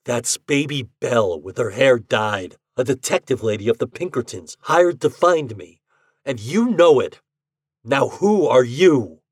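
A man voicing a hunted, suspicious gunman in an audiobook, cold and grim, with thick tones and sharp demands. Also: mid-range voice, expressive, a little slow, no echo, pitched above the performer's own voice.

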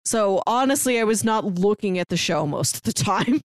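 A woman's voice: medium pitch, lively intonation, normal speed, no room sound, studio quality. A woman podcast co-host chatting casually about films and shows in a wry, talkative way.

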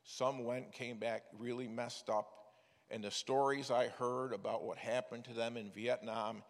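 A man's voice: mid-range voice, steady tone, measured pace. An older man preaching a sermon, telling stories in a folksy way with frequent restarts. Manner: folksy storytelling with many restarts. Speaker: preacher, former missions director. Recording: echoey hall with long reverb.

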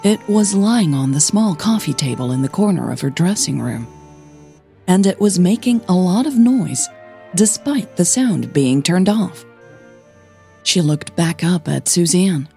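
A woman narrating scripted fiction as a podcast host, in a measured, deliberate way. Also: fairly low pitch, expressive, gravelly, mellow, faint music behind.

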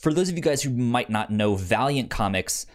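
Man with very lively, swooping pitch. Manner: halting, repeats words and restarts often. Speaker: podcast co-host. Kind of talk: casual book discussion.

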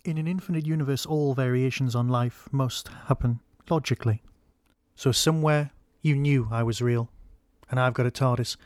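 A man's voice: medium pitch, steady tone, normal speed, darkish tone, no echo, clean studio sound, natural voice. A man doing a weary pleading time traveller. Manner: earnest, pleading.

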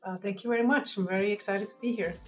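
A woman's voice: mid-range voice, fairly even intonation, fast, soft background music. A woman from Switzerland with a Swiss German accent, explaining science as an interview guest in careful, sometimes hesitant phrasing.

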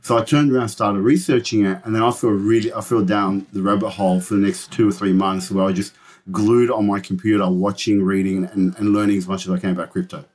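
A man in his forties, born in Lebanon, talking earnestly and quickly as an interview guest, often restarting his sentences. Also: lowish voice, steady tone, a little mellow. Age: 40s. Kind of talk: conversational interview.

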